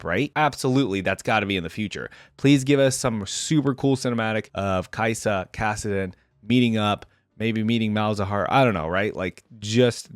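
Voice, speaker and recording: A man's voice: on the low side, no room sound, clean studio sound. A man hosting a reaction video, commenting in a conversational, enthusiastic way and reading aloud as he goes. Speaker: host of a game lore reaction channel.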